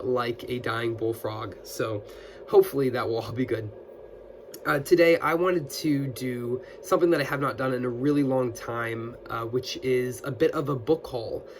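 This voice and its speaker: Man with a scratchy post-illness voice. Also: mid-range voice. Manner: friendly, with many fillers and restarts. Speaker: booktuber. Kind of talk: casual book haul chat.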